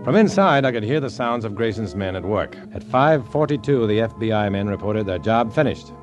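Actor as low-voiced, guarded undercover man. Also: expressive, a little fast, faint music behind, natural voice. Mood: tense narration. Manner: terse, cool. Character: guarded, dry-witted male undercover agent narrator. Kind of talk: 1950s radio drama with first-person narration. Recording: old 1950s radio recording.

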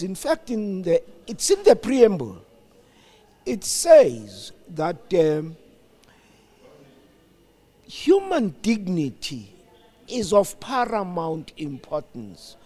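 Slow, high-pitched, animated South African man. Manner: deliberate, emphatic, explanatory. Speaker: studio guest, former radio broadcaster. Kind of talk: reflective interview talk.

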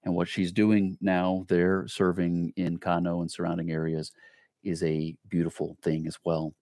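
A man's voice: deep voice, level tone, unhurried, dark tone, no room sound, studio quality. A man hosting an interview podcast, speaking earnestly and drawing out words for emphasis. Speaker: podcast host.

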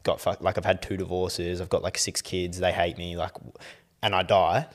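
A man's voice: low-pitched, level tone, normal speed. A man, rambling in casual podcast chat, full of fillers and swearing. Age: 20s. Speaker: podcast co-host.

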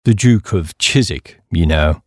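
A man voicing a hapless, dim young upper-class English gentleman who blusters and rambles in slang. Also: low-pitched, animated, unhurried, no echo, clean studio sound, voice pushed down. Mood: worried.

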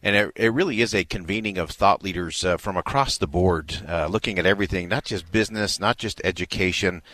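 A man's voice: sits low, fairly quick, hardly any pauses, sharp tone, no echo. A man hosting a live radio broadcast, speaking in an earnest, affirming way as he interviews and comments. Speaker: radio host.

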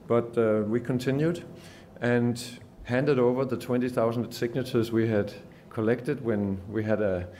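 A man's voice: mid-range voice, steady tone, a little slow, mellow, a little hiss or hum. A man with a Danish accent giving an earnest conference speech as a journalist, often restarting and repeating words. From Denmark. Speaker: journalist giving a summit talk.

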